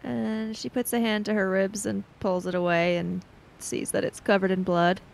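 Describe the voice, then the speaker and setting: A woman's voice: medium pitch, little pitch movement, moderate pace, vocal fry, slight background noise, rough recording. A woman improvising narration for her character in a tabletop role-playing game, tentative and thoughtful.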